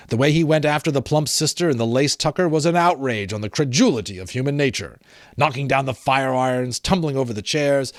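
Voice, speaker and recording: A man's voice: medium pitch, no room sound, clean studio sound. A middle-aged man giving a dramatic, emphatic storybook narration, with casual explanatory asides. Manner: theatrical, emphatic, breaks off to explain. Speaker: amateur audiobook reader.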